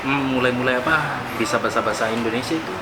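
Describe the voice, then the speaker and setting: A man's voice: mid-range voice, rapid-fire. A man hosting a casual chat, teasing his guest and laughing often, in a noisy recording. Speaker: host. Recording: loud background noise.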